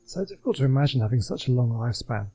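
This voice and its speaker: A man's voice: mid-range voice, animated, very fast, mellow, soft background music. A man giving casual game commentary as a let's play host, musing and chatty in a hesitant way.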